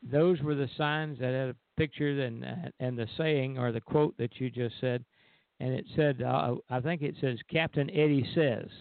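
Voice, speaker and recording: A man's voice: medium pitch, steady tone, moderate pace, creaky, no room sound. An older man co-hosting a radio show, reminiscing casually in a hesitant, meandering way. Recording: dry, narrow phone line.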